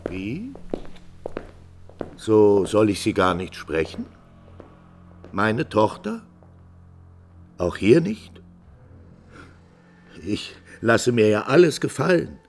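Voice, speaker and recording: A proud middle-aged father in an old radio drama. His low voice swings widely in pitch as he strains against his rage. The delivery is stern, bitter and theatrical. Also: sing-song, extremely slow, vocal fry, long pauses, soft background music, full character voice. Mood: bitter despair. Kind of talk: 1950s German radio drama acting. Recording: vintage 1950s radio recording.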